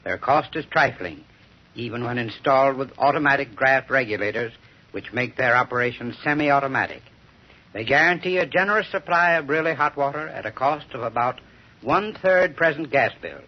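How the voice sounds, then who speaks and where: A man's voice: medium pitch, animated, fairly quick. An American man reading a scripted sponsor message in 1930s radio advertising style. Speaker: sponsor spokesman. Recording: dull old radio recording.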